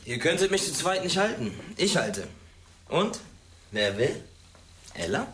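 An actor playing a cocky, mocking teenage schoolboy in a naturalistic radio drama, sarcastic and slangy. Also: male voice, on the high side, big pitch swings, measured pace, a bit bright, a little hiss or hum. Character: cocky, mocking teenage schoolboy who provokes his classmates.

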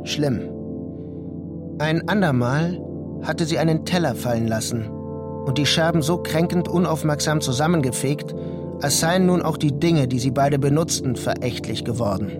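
Male narrator for literary radio play: fairly high register, deliberate delivery, prominent music bed.

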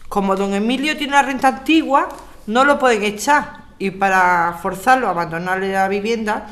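Actriz haciendo de abogada serena y seria, acento castellano. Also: female voice, medium pitch, a bit bright, close to an everyday voice. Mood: firm, explaining. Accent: Castilian Spanish. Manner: measured, explanatory, articulate. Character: Alicia, composed, earnest lawyer rallying her neighbours. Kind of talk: scripted audio drama.

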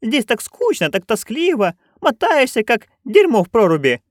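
A storyteller voicing a chatty, coarse, bawdy old witch woman who rambles loudly. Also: mid-range voice, huge pitch swings, normal speed, no echo, studio quality, voice pushed up. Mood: gossipy.

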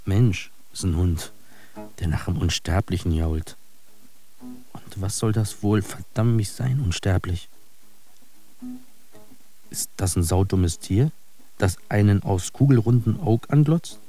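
An actor playing an embittered, disillusioned fighter in a radio drama monologue, declaiming and cursing in coarse words. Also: male voice, sits low, slow, long pauses, warm tone, faint music behind. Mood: bitter, cursing.

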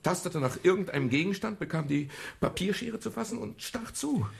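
A man's voice: sits high, lively intonation, fairly quick, heavily acted. An actor playing a weary, world-worn male private detective who acts and narrates in a German radio crime drama. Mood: reconstructing events. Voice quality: gravelly, husky.

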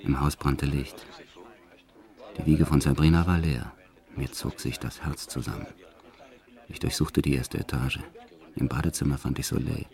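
A hardened, violent gangster tells his own story in a radio drama. His voice is extremely deep. He recounts brutal deeds in a measured, matter-of-fact way, with tenderness underneath. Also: fairly even intonation, gravelly, darkish tone. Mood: subdued, brooding. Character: violent male career criminal confessing his life, brutal yet tender. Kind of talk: first-person radio play narration.

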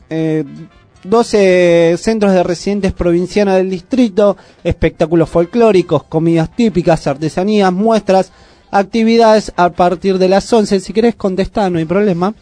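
A man with an Argentine accent hosting a casual community radio show, chatty and full of "eh" pauses. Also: high voice, dark tone, no room sound, soft background music. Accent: Argentine Spanish. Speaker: radio host. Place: radio studio.

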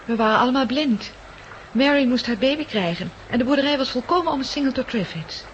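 An actress playing an earnest survivor in a 1960s Dutch radio play, on a dull, faintly noisy recording. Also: female voice, high voice, expressive, brisk. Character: blind, earnest survivor on a besieged farm.

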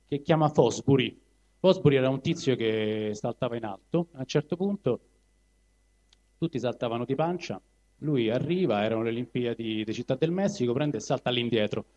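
A man with a Roman Italian accent talking colloquially to a live audience at a poetry reading, halting and digressing as he goes. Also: medium pitch, warm tone. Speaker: poetry reader. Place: live evening event in a cinema venue. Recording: dull lo-fi live recording.

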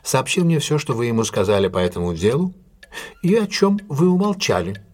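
An actor playing a confident, courteous male detective in an audio drama, speaking in a measured, deliberate and formally polite way. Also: mid-range voice, sing-song, darkish tone. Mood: insistent, measured. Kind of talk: audio drama acting, classic detective story.

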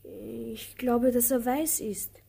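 Shy young boy messenger, radio play, high soft breathy voice, slow hesitant polite replies, meek. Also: steady tone, heavily acted. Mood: timid, meek. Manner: hesitant, very brief polite answers. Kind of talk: radio drama acting.

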